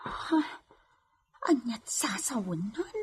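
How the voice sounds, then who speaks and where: A woman's voice: on the high side, expressive, slow pace, slightly rough, exaggerated voice. A woman playing a brash, nosy village servant in a radio comedy drama, muttering and exclaiming to herself. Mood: puzzled, deferential.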